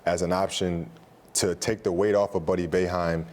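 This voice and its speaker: A man's voice: deep voice, flat delivery, moderate pace, a little mellow. An American man giving conversational sports analysis as a studio analyst, building points in short repeated phrases. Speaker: studio analyst, former college player.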